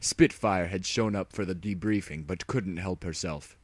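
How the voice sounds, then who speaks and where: A man's voice: sits low, moderate pace, no room sound. A man narrating fan fiction in a mock-serious, theatrical audiobook style.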